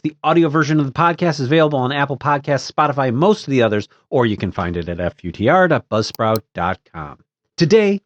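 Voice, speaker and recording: A man's voice: medium pitch, no echo, dull audio. A man hosting an interview podcast, introducing and questioning his guest. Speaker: podcast host.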